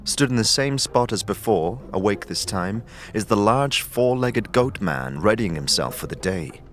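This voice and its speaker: A man's voice: fairly low pitch, animated, unhurried, a bit bright. A man reading scripted dramatic narration for an audio story. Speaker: narrator and game master. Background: clear music.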